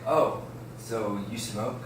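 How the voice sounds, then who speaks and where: A man's voice: on the low side, takes it slowly. Man reading laid-back teenage stoner, very echoey. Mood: friendly, casual. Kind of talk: amateur table read of a script. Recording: echoey room, distant mic, faint noise.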